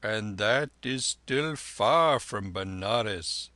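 A man reading aloud, voicing a gentle, weary old holy man who speaks slowly and deliberately. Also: mid-range voice, sing-song, no echo, full character voice. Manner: slow, weary, measured.

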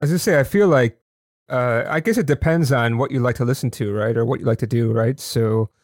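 A man's voice: mid-range voice, brisk, a little mellow, no echo, studio quality. A man hosting a casual podcast interview over a video call, chatty and apologetic, often tagging his statements with "right?". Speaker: podcast host.

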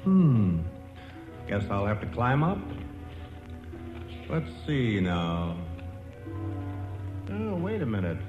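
An actor playing Death as a polite, beguiling gentleman in a 1940s radio comedy, speaking with theatrical deliberation. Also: lowish voice, sing-song, takes it slowly, long pauses, over music. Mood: courteous. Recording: echoey, muffled vintage radio recording.

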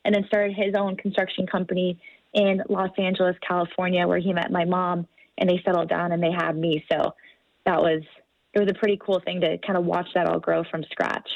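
A woman's voice: lowish voice, little pitch movement, a little fast, creaky, dry recording. American woman on a podcast, earnest motivational talk, dry, kinda lo-fi. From United States. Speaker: guest. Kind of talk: podcast interview conversation.

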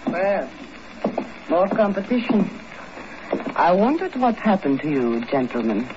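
A woman's voice: lowish voice, sing-song, a little slow. An actress playing a coolly teasing, sardonic woman intriguer in 1950s radio drama. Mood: arch, teasing. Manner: arch, sardonic. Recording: dull, noisy 1950s radio recording.

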